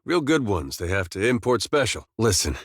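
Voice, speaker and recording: A man voicing an easygoing farmer turned adventurer in a video game, with a folksy, drawling, deadpan humour. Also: lowish voice, moderate pace, breathy edge, no room sound, studio quality, full character voice. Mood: wry, easygoing. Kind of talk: video game companion dialogue and barks.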